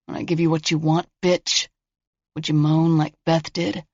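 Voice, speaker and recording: An unhinged male killer taunts through a locked door, put on by a woman reading a horror story. He is mocking and sing-song at first, then drops to hushed threats. The recording is dull and lo-fi, with no room sound. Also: deep voice, little pitch movement, moderate pace, dry recording. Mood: menacing.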